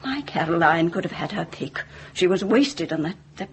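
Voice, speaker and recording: A woman's voice: lowish voice, expressive, moderate pace, husky, exaggerated voice. An actress playing a middle-aged, bitter, grieving mother in a radio drama, intense and insistent. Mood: bitter grief. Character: bitter, grieving, accusing mother of a dead bride. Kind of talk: British radio drama acting.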